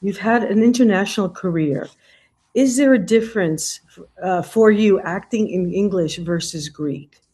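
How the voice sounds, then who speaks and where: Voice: medium pitch, a little slow, warm tone, husky. An interviewer asking questions in a conversation and backing up the guest with murmurs of agreement. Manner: encouraging, frequent backchannel murmurs.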